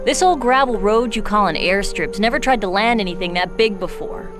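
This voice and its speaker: A skeptical female contact voiced by a woman trades dry, sharp remarks. A dramatic score sits clearly behind her in this game cutscene. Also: mid-range voice, fairly quick, music in the background, close to an everyday voice.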